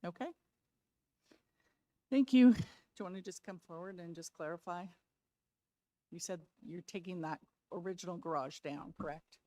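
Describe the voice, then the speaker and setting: A woman chairing a public planning hearing, brisk and procedural, losing her voice. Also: lowish voice, warm tone, no room sound. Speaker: committee chair.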